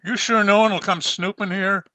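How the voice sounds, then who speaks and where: An actor playing a tall, wary killer in an old radio suspense play, talking in curt, suspicious phrases. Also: male voice, high voice, steady tone, moderate pace, pushed and bright, low-quality recording. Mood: wary, plotting. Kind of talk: 1940s radio suspense acting.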